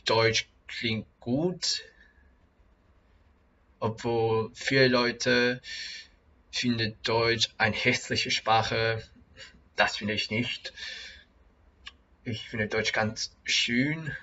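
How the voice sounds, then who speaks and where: A young man who is not a native speaker talks slowly and haltingly on a home video, often stopping to restart a phrase. His voice is bright and a little pushed forward, and the recording sounds dull and lo-fi. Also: medium pitch, fairly even intonation. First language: not German. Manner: halting, many restarts and fillers. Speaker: vlogger, German learner. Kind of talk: casual learner vlog.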